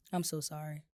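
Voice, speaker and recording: A woman with a slightly low, cold-roughened raspy voice talks casually on a podcast. She meanders through her thoughts with plenty of fillers. The sound is close and dry. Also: dry recording. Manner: relaxed, rambling with many fillers. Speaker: podcast co-host. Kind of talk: casual chat.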